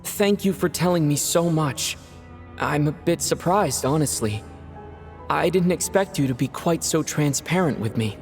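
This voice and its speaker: An earnest young hero, with a voice a little higher than most men's. He speaks politely and plainly. The sound carries a long reverb, and music plays underneath. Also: animated, unhurried. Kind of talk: video game voice acting. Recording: reverberant game cutscene with music.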